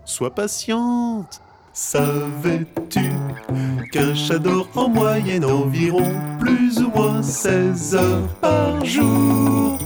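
A woman playing a patient, know-it-all gust of wind in an audio fiction, speaking in a measured, didactic way. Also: medium pitch, expressive, over loud music. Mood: patient, pedantic. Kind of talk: French audio fiction acting.

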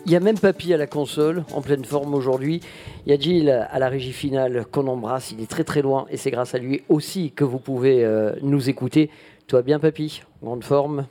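Mellow-voiced male radio host. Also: medium pitch, steady tone, a little fast, soft background music. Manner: chatty, upbeat, with many hesitations. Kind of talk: live radio presenting. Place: outdoor festival broadcast stand.

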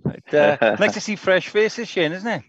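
A man's voice: sits high, animated, normal speed, a bit bright, dry recording, muffled recording. A man hosting a podcast over a video call, enthusiastic and full of praise for his guests. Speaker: podcast host. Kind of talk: upbeat podcast chat.

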